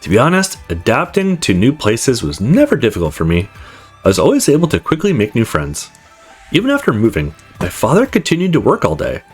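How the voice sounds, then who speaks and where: A man's voice: mid-range voice, wildly swooping pitch, a little fast, slightly rough. A man voicing a confident, upbeat male student who narrates his life in a visual novel, in a breezy, conversational way. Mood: upbeat, reflective. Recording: music playing behind the voice.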